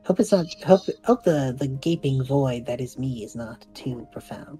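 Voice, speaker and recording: A woman as a low-voiced, self-deprecating young guy. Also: expressive, moderate pace, warm tone, soft background music. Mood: wry, sheepish. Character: young male magic student, earnest, self-deprecating, awkward. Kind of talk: audio drama dialogue, naturalistic.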